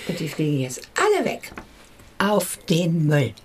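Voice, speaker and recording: An actress playing a blunt, dismissive village widow who talks curtly and colloquially in a radio play. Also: female voice, deep voice, huge pitch swings, measured pace, slight background noise, exaggerated voice. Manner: curt, colloquial, disparaging. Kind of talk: German radio play acting.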